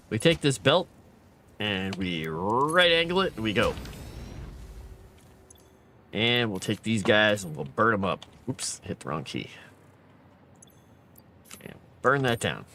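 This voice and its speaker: Tired male streamer, lively pitch swings, thinks aloud, faint hum behind. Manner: thinking aloud, rambling, chatty with viewers. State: tired, fading late at night. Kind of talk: casual gameplay live stream commentary.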